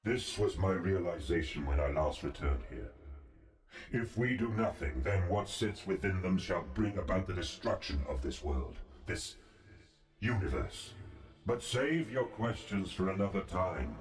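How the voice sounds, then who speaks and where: An actor playing a calm, solemn ancient god in a fantasy game, speaking in a formal, measured and imperious way. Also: male voice, sits low. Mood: solemn, assured. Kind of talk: scripted fantasy game dialogue. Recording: roomy, distant, music behind.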